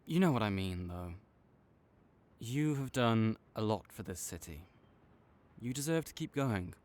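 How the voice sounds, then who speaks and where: A man playing a tsundere supervillain in an audio roleplay, halting and exasperated, teasing and reluctantly caring toward a rival. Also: fairly low pitch, expressive, a little mellow. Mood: grudgingly encouraging. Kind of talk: intimate audio roleplay addressed to the listener.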